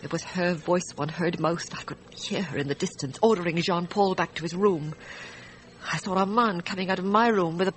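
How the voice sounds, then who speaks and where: A woman playing a frightened, distressed wife in a radio drama, recounting her story in a halting, hesitant way. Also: lowish voice, a little hiss or hum, lightly acted, rough recording. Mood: shaken, halting. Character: frightened, distressed wife testifying about a night shooting.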